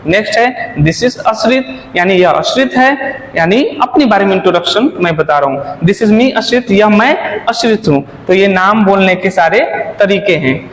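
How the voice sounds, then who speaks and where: A male spoken English teacher giving a classroom lesson, slowing right down to read model sentences aloud and quizzing his students with repeated questions. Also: high-pitched, animated. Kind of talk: classroom lesson explaining in Hindi. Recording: echoey classroom with long reverb, faint room noise.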